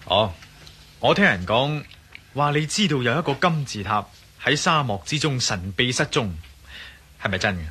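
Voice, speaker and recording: A man's voice: medium pitch, animated, moderate pace, pauses often, sharp tone, slight background noise. A man playing a curious, teasing Hong Kong tourist in a radio drama, casual and wry. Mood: impatient, curious. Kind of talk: Cantonese radio drama acting.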